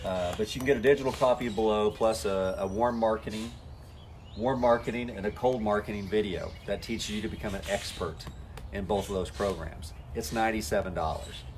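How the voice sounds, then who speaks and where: Folksy man, low voice. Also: brisk, a little mellow, a little hiss or hum. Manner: folksy, acts out quoted conversations. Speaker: network marketing coach. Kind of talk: motivational talk to camera.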